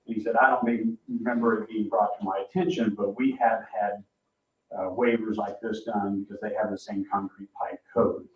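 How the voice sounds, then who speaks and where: A man presenting as a project engineer, explaining informally in a halting, self-correcting way. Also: lowish voice, level tone. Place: public meeting room. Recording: echoey room, distant muffled mic.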